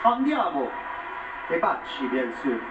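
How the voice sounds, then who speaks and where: A man's voice: a little high, sing-song, slow pace, heavy background noise. A man presenting a TV show in an upbeat, joking manner as he interviews a contestant. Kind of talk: televised interview. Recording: distant, roomy, muffled TV audio.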